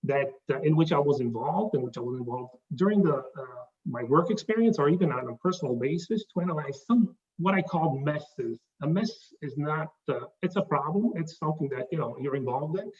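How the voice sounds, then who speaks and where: Halting Italian-accented man, distant lo-fi mic. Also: a little high, expressive, darkish tone. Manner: halting, many fillers and restarts. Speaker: presenter. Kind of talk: pitch presentation to a live audience.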